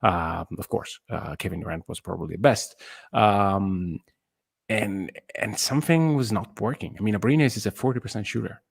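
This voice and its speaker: A man with a low voice talks through basketball as a podcast co-host. He searches for his phrasing in slightly non-native English, and the sound is close and dry. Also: normal speed, vocal fry, slightly rough, no room sound. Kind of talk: casual basketball analysis.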